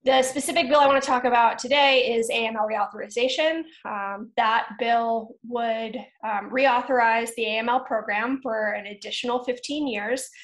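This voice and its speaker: A woman in her thirties talks through a webinar from a room at home, measured and earnest, dotting her sentences with ums. Her voice sits a little high. The video-call audio carries a noticeable room echo. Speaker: webinar presenter. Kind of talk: webinar slide presentation.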